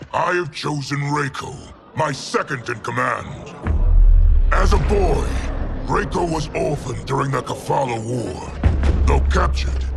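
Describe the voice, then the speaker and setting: A man playing a proud, belligerent warlord general in a video game, gravelly and declaiming formally with contempt. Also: fairly high pitch, heavily acted. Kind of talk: dramatic video game acting.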